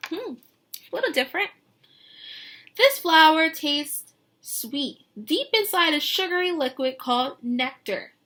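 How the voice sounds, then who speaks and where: A woman teacher reading a picture book aloud to young children, expressive and sing-song, pausing to put questions to them. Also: sits high. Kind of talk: read-aloud lesson with questions to young listeners.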